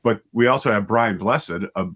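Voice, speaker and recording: Low-pitched man explaining in casual interview. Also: normal speed, a bit bright, husky. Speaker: interview guest, writer and director of audio dramas.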